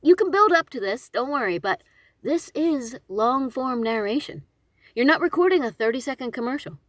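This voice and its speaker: Woman, fairly high voice, very lively intonation, conversational, emphatic, dry close sound, casual teaching style. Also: normal speed, no echo. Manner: conversational, expressive, emphatic, chuckles often. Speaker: audiobook narrator and voice actor. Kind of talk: casual instructional talk to camera.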